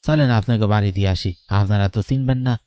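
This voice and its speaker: A man playing a helpful, gossipy villager in a cartoon, expressive and deferential, speaking colloquially with a rural Bengali accent. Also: fairly low pitch, quick pace, muffled recording, natural voice. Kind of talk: Bengali horror cartoon dubbing.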